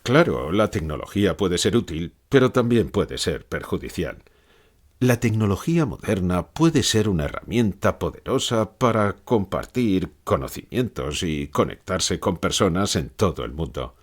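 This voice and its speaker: A man's voice: low-pitched, sing-song, normal speed. A man playing a gloomy old philosopher who gives grave, pessimistic answers in a scripted interview.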